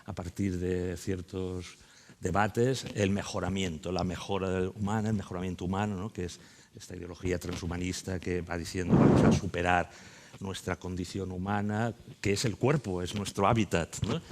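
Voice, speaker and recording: A man's voice: deep voice, fairly even intonation, normal speed, a little hiss or hum. A man explaining ideas in a panel discussion, rephrasing key terms and often adding checking tags. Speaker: panelist at a congress.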